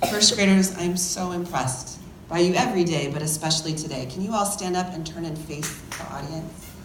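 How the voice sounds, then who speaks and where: Lady teacher talking to kids n parents at a school event, lowish voice, roomy noisy recording. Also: moderate pace. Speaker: first grade teacher hosting a class reading event. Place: echoey room at a school event.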